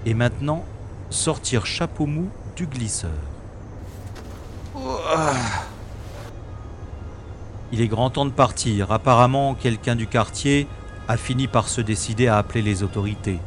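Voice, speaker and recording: A man's voice: mid-range voice, moderate pace, faint music behind, close to an everyday voice. A man playing a cool-headed, sardonic special agent in a French-style science-fiction audio drama. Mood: businesslike. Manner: sardonic, flippant, unflappable.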